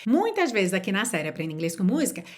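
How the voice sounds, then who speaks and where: Lively woman teaching online. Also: mid-range voice. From Rio de Janeiro, Brazil. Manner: slows right down to model and repeat example phrases; encouraging, speaks straight to the viewer. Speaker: language teacher. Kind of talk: online video lesson.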